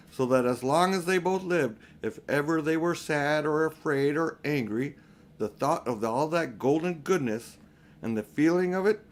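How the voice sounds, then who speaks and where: An older man narrating a children's audiobook in a deliberate, phrase-by-phrase manner. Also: a little high. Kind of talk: scripted storybook narration.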